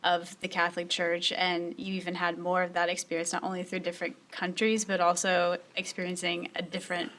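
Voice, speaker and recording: A woman's voice: lowish voice, completely flat delivery, a little fast, hardly any pauses, fairly bright tone. A woman hosting a faith podcast, asking a guest questions with some hesitation. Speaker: podcast host. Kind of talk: interview questions.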